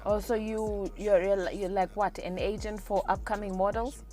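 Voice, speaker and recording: A woman's voice: mid-range voice, steady tone, normal speed, mellow, soft background music. A woman hosting an interview, asking questions in an upbeat, encouraging way. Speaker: interviewer, host.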